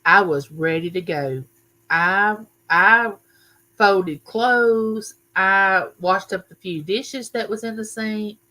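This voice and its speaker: Loud, bright-voiced older woman. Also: mid-range voice, slow, rough recording. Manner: loud, chatty, greets viewers by name. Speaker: livestream host. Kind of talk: casual live chat with viewers. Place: home room used for filming.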